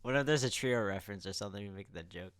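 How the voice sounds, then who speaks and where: Low-voiced man, dry podcast mic. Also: racing, a bit bright, no room sound. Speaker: podcast co-host. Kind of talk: casual podcast banter.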